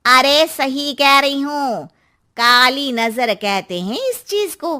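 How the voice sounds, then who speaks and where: A woman's voice: sits high, lively intonation, takes it slowly, sharp tone, voice pushed up, exaggerated voice. A woman voicing a superstitious neighbourhood auntie in a story, with an emphatic, cautioning manner. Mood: ominous warning. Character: nosy superstitious neighbourhood auntie, ominously warning.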